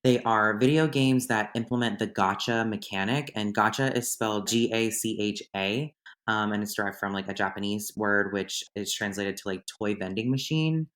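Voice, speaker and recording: A slightly hoarse young man hosting his podcast in a chatty, rambling solo monologue. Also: fairly low pitch, normal speed, fairly bright tone. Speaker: podcast host. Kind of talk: casual solo podcast chat.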